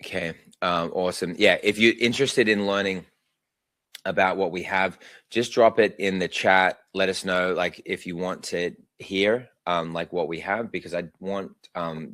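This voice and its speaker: A man's voice: deep voice, a bit bright, dry recording. A man hosts a live online presentation. He talks through his slides in a loose, chatty way with plenty of fillers. The sound is dry and close. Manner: casual, chatty, many fillers. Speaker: webinar host.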